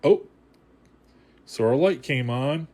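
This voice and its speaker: A man's voice: medium pitch, expressive, takes it slowly, a little mellow. A man talking casually and playfully, with enthusiasm, as he hosts a hands-on radio restoration vlog. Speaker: host of a radio repair channel.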